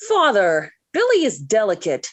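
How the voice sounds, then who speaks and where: An actress playing a dreamy, melodramatic, lovesick American teenage daughter in a 1940s radio sitcom, gushing and theatrical. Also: female voice, medium pitch, huge pitch swings, normal speed. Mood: lovestruck. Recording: vintage 1940s radio recording.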